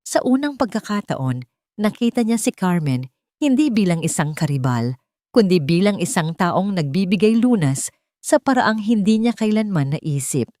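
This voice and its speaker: A woman narrating a scripted sentimental drama story in a measured, dramatic storytelling manner. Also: mid-range voice, very animated, warm tone, no room sound, studio quality.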